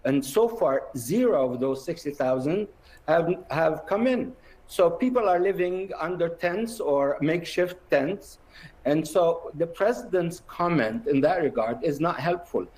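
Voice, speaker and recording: A man from Jerusalem appears as a guest on a television news program, his voice somewhat higher than most men's. He talks firmly and stresses his points with emphasis. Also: lively intonation, unhurried, a little mellow, poor audio. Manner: firm, emphatic. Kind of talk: live TV news interview.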